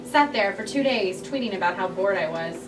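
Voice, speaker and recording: A woman at a comedy table read playing a vulnerable young comic artist, telling an embarrassing story in a dry, halting, sheepish way. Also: medium pitch, animated, close to an everyday voice. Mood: sheepish, weary. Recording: roomy, distant mic, noisy room.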